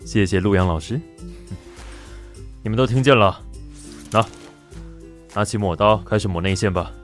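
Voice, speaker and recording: A man's voice: on the low side, a little slow, faint music behind, lightly acted. A man with a Taiwanese Mandarin accent playing a mischievous, flirtatious pastry chef and doting lover in an audio drama. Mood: calm instructing. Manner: smooth, flirtatious, teasing.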